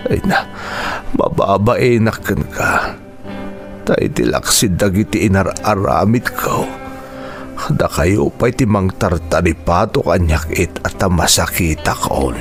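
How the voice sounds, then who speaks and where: An actor with a Filipino accent playing an ailing, remorseful old uncle in a radio drama, halting and sighing as he speaks. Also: low voice, animated, faint music behind, exaggerated voice. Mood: ashamed, grateful. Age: older. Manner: halting, sighing, self-reproachful.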